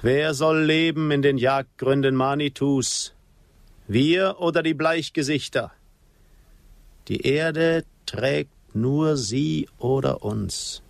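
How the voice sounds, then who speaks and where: An actor playing a proud, sorrowful Apache chief in a radio drama, with solemn, poetic delivery. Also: male voice, on the high side, vocal fry, no echo. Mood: grave, resolute. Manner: solemn, poetic, measured declamation. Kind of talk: German radio drama acting.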